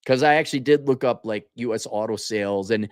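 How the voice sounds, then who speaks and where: A man's voice: medium pitch, fairly quick, no echo, studio quality. A man hosting an off-the-cuff opinionated online commentary, speaking in an exasperated, sarcastic, colloquial way. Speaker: online commentary host answering viewer comments. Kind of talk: off-the-cuff opinionated livestream rant.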